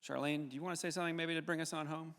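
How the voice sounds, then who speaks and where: A man hosting a live audience discussion, speaking hesitantly with pauses mid-sentence. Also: a little high, flat delivery, a bit bright. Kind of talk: moderating a live public discussion. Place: large indoor hall at a live event. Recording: echoey hall with long reverb.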